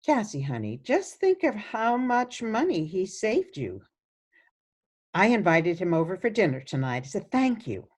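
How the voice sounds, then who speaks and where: A woman's voice: mid-range voice, sing-song, unhurried. A woman playing a sweet, doting elderly grandmother in a radio play, with a gushing, soothing manner. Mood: cheerful, soothing.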